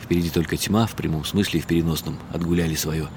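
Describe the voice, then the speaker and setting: A man reading a first-person literary story as a radio play, in a measured, wry and bitter tone. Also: rumbling low, steady tone, darkish tone, a little hiss or hum.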